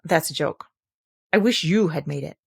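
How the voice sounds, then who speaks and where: A woman's voice: low-pitched, moderate pace, creaky, a little mellow, husky, no echo, clean studio sound, exaggerated voice. A woman voicing a careless, melancholy talking gnat from a children's storybook, in an offhand manner. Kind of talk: children's storybook character reading.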